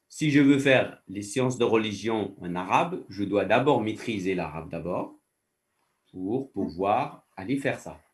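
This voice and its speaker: A man's voice: medium pitch, distant mic. Man explaining patiently, off the mic. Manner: patient, explains through first-person examples. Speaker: faculty director, guest. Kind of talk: explanatory interview answers.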